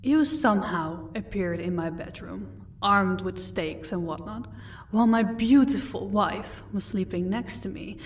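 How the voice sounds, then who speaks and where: A woman's voice: mid-range voice, measured pace, far from the mic, lightly acted. A woman playing a proud, sardonic vampire captor in an audio roleplay, commanding and dryly sarcastic. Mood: cool, explaining.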